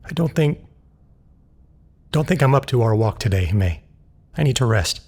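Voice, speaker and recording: A grieving man of science in an audio drama. He is devoted and stubborn, with a mid-pitched husky voice. His phrases come haltingly, in a subdued way. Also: expressive, a little mellow. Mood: sad, gentle.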